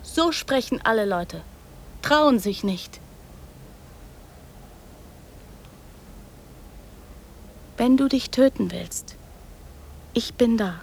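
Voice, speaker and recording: High-pitched young girl, precocious, blunt, childlike phrasing, radio drama, some background noise. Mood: calm, eerie. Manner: blunt, childlike short sentences. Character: precocious, brazen young girl, talking of her parents.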